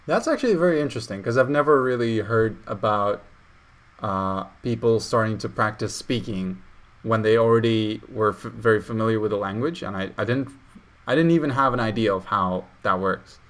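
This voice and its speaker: A man's voice: lowish voice, normal speed. A young man whose first language is Russian, chatting casually as host, full of fillers and restarts. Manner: hesitant, many fillers and restarts. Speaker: channel host. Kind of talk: casual video call chat.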